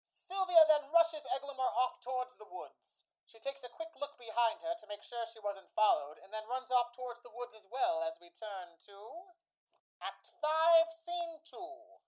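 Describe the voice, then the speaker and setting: Lively, fairly high woman radio announcer. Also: unhurried, poor audio. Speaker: radio play announcer and narrator. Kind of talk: theatrical scripted narration, old-time radio. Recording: music under the voice.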